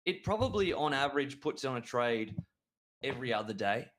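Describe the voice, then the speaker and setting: A man co-hosting a trading show, his voice sitting at an ordinary middle pitch. He talks with eager excitement, rambling through his analysis and often restarting mid-sentence. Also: a little fast. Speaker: crypto trading show co-host. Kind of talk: enthusiastic market analysis chat.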